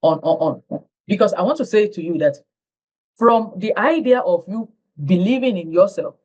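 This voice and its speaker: A woman's voice: mid-range voice, expressive, moderate pace, a little mellow, lo-fi sound. A woman hosting an interview, effusive and stretching her words for emphasis over a video call. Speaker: interview host.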